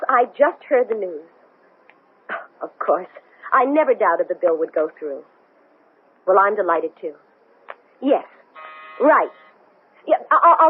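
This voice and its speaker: An actress playing an anxious, strong-willed woman astronaut in a 1970s radio drama, speaking in an agitated, halting way. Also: medium pitch, big pitch swings, slightly rough. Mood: pleased. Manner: agitated, halting, stammering speech. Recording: noisy, thin, phone-like old radio broadcast.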